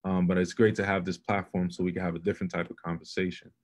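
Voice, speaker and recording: A man's voice: deep voice, little pitch movement, quick pace. A man hosting an interview over a video call, earnest and affirming as he asks open questions. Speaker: host. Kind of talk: conversational interview.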